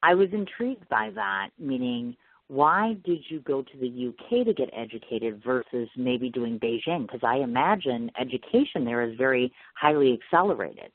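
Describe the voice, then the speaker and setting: A man's voice: mid-range voice, expressive, normal speed, no room sound. A man hosting an interview, chatty, building up his questions with long setups. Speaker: interview host. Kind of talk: radio phone interview. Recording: tinny dry phone line.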